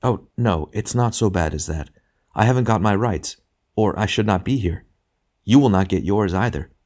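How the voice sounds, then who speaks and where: A male narrator plays a serene younger spirit, a redeemed sinner in a storybook. He speaks with calm, kindly frankness and a quiet joy, patiently urging and reassuring. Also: on the low side, normal speed, pitched above the performer's own voice, close to an everyday voice. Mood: gentle, reassuring. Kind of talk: literary audiobook character dialogue.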